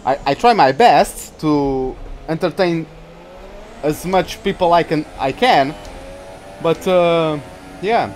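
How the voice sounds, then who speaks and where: High-voiced Portuguese-accented gaming streamer. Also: male voice, slow pace, a little mellow, a little hiss or hum. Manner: rambling, hesitant, frequent restarts and fillers. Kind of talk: live gameplay commentary and chat with viewers.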